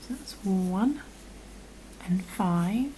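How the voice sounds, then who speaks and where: A woman with a croaky voice, patiently talking through a crochet tutorial and often dropping to a half-whisper as she works. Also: mid-range voice, expressive, drawn-out words, warm tone, slight background noise. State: croaky from illness. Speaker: crochet instructor. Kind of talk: step-by-step tutorial.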